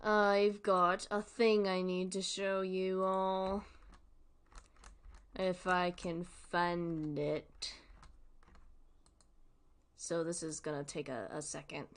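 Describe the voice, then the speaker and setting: A woman's voice: lowish voice, flat delivery, takes it slowly, long pauses, clean tone. A woman streamer giving casual livestream commentary in an informal, rambling, giggly way.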